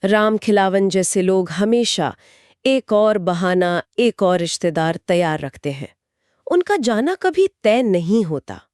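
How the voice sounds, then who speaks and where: A woman's voice: medium pitch, sing-song, slow, a little mellow, no room sound. Woman retelling a story, dry studio-like sound. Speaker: co-host retelling a short story. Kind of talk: scripted narration in a two-person discussion.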